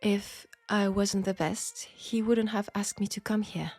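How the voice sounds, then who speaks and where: A woman's voice: mid-range voice, steady tone, measured pace, a little mellow, soft background music. A woman with a French accent playing a polite, formal, self-assured seamstress in a steampunk audio roleplay drama. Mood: polite, composed. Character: proud, outspoken, formal young seamstress in steampunk Paris.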